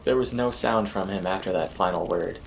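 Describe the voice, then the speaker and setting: A man's voice: low voice, fairly quick, gravelly, a little hiss or hum. A man narrating a scripted first-person horror story as its storyteller. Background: eerie ambient music.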